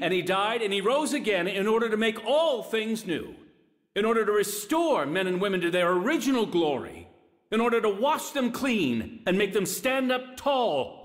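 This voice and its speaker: A man's voice: high-pitched, a bit bright, exaggerated voice. An actor playing a fervent preacher in a radio drama, emphatic and rhetorical as he urges repentance. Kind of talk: dramatic sermon in a radio play. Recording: long hall reverb.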